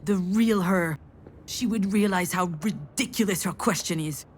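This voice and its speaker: An actress playing an overwhelmed, sardonic adult daughter in a video game, speaking in a halting, expressive way. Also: female voice, mid-range voice, slight background noise, lightly acted. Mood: bitter, sardonic. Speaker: game character, artist's assistant. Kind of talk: naturalistic video game acting.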